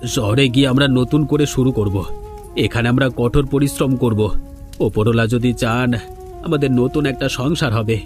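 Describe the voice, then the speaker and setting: A man's voice: medium pitch, moderate pace, a little mellow, faint music behind, lightly acted. A man voicing a needy, plaintive village thief and husband in a cartoon drama. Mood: remorseful. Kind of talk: Bengali cartoon voice acting.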